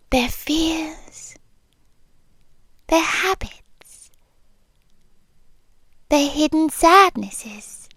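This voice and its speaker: A woman with a British accent playing a possessive, lovestruck monster girlfriend in an intimate ASMR roleplay, often dropping into breathy whispers. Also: high-pitched, dry recording, clean studio sound, exaggerated voice. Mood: yearning, obsessive. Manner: slow, breathy, often whispering, intense and tender. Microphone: close ASMR microphone, dry.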